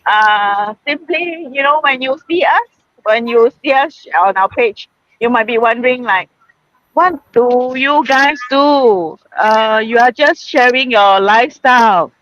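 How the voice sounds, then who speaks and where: A woman's voice: a little high, a little slow, a bit bright, no room sound, dull audio. A woman from Singapore hosting a casual group live stream, chatty and laughing, with many fillers, speaking from a shopping mall.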